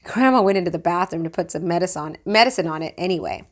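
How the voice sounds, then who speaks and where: A woman reading a children's book aloud like a teacher, with expressive storytelling. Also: lowish voice, quick pace.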